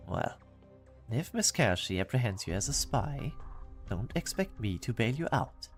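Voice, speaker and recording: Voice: medium pitch, big pitch swings, a little slow, soft background music. An actor playing a stern, formal, dryly exasperated royal officer in an amateur game fan dub. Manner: formal, clipped, dryly reproachful.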